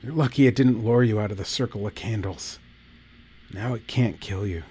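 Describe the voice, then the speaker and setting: A man voicing a bitter, scornful middle-aged priest who speaks slowly in a hushed, menacing tone. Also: lowish voice, slightly rough. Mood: hushed grim. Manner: hushed, slow and menacing, rising to a snarl.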